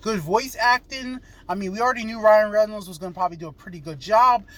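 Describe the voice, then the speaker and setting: A man's voice: high-pitched, fast talker, fairly bright tone. A man giving a casual, enthusiastic, rambling movie review as a video reviewer. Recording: noisy ambience with vehicle sounds. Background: vehicle and ambient noise.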